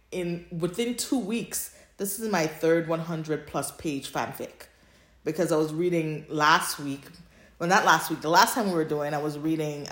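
A woman with a low voice talks casually as a podcast co-host. She retells things in an animated, conversational way. The recording is roomy and echoey. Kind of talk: casual chat about fan fiction.

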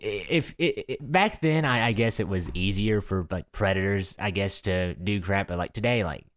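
Young guy, kinda deep, dry recording, just chatting with friends, repeats words as he goes. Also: moderate pace, no room sound. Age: young adult. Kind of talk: casual chat among friends.

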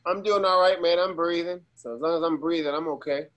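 A man from Las Vegas, ill with pneumonia, talking casually as an interview guest in a loose, halting, slangy way with emphatic repetition. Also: a little high, fairly bright tone. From Las Vegas, USA. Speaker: guest, socialist activist.

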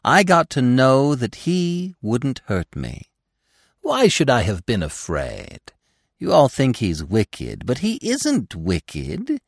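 A proud, naive young deer played by a man from a storybook. He talks in a superior, self-satisfied way, raised in pitch and unhurried, with lively swings of tone. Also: vocal fry, no room sound, studio quality, heavily acted. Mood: proud, boastful. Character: boastful, naive young male deer, proudly telling wonders. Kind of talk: storybook character voice.

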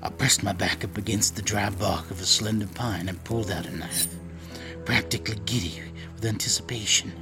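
An actor playing a desperate, protective father in a post-apocalyptic audio drama, narrating tensely and deliberately in the first person. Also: fairly low pitch, steady tone, over music, close to an everyday voice. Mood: wary, tense.

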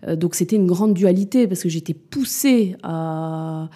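A woman's voice: on the low side, unhurried, mellow, breathy edge. A woman in her forties, a mother and business owner, answering interview questions candidly, hesitant and reflective, with frequent fillers and restarts. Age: 40s.

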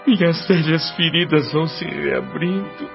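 A man's voice: fairly high pitch, gravelly. An actor with a Brazilian accent playing the anguished spirit of a dead man, a devoted son, in an emotional, plaintive radio drama style. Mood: anguished lament. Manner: slow, emotional, plaintive delivery. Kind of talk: melodramatic radio drama acting and narration. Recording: muffled radio drama with music behind.

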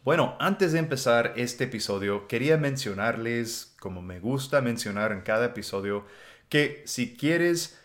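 A man with an American accent hosting a casual podcast in Spanish, relaxed and quick to laugh. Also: medium pitch. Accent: American English. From United States. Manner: relaxed, unhurried, laughs easily. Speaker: podcast host. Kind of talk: casual chat.

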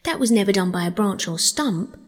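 A woman voicing an excitable male rat from a children's storybook, caring but bossy, with an eager, emphatic, exasperated manner. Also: mid-range voice, fairly quick, breathy edge. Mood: kindly, attentive.

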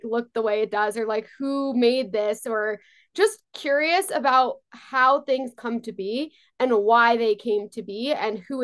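A woman's voice: a little high, fairly bright tone. A woman in her twenties, raised in New York, talking casually as an interview guest, chatty and full of fillers. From born in Israel, raised on Long Island, New York. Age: 20s. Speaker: guest entrepreneur.

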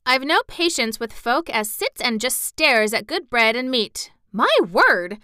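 A woman's voice: a little high, very animated, a little slow, sharp tone, clear, resonant tone, no echo, studio quality, pitched above the performer's own voice, full character voice. A woman voicing a good-tempered young maid with a broad Yorkshire accent, outspoken and talkative. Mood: indignant. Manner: broad dialect, rattling and outspoken.

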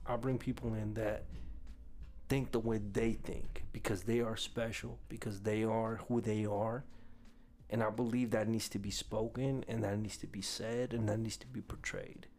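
A man's voice: lowish voice, flat delivery, moderate pace, a little mellow. A young man hosting a casual podcast, blunt, emphatic and often swearing. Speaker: podcast host.

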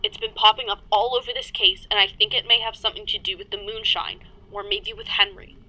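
Actress doing a earnest investigator woman, very bright sharp voice, brisk direct questions. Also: mid-range voice, fairly even intonation, low-quality recording. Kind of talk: audio drama acting.

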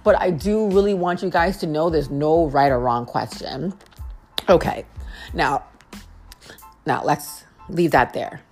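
A young woman hosting her own podcast, talking casually and earnestly, with a naturally low-toned voice and a habit of repeating phrases for emphasis. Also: darkish tone. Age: young adult. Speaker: podcast host. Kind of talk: casual heartfelt monologue.

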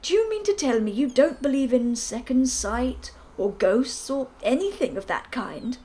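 A woman's voice: high voice, big pitch swings, normal speed, mellow, pitched above the performer's own voice. A woman voicing a hearty, bluff older male colonel who talks emphatically. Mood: indignant.

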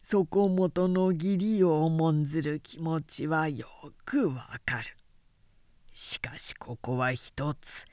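A grave old lord , voiced by a woman narrator, in a period storybook reading. He speaks slowly and softly, patient and soothing as he persuades. The sound is dry and close. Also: low voice, fairly even intonation, dry recording, pitched below the performer's own voice. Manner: measured, hushed, soothing.

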